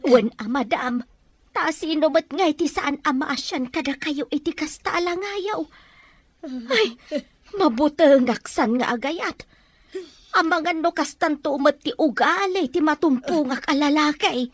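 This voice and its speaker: Woman acting a high-voiced, jumpy Ilocano housemaid. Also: a little slow, no echo. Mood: indignant, sympathetic. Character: jumpy, outspoken, sympathetic young housemaid. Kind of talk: Ilocano radio-style drama acting. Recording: dry, faint music behind.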